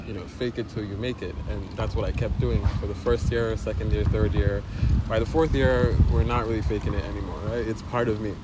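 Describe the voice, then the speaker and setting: Low-voiced young man musing to camera. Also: noisy background. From Nigeria. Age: 20s. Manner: thoughtful, often ends with a questioning "right?". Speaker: vlogger. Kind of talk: reflective personal monologue. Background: guitar music.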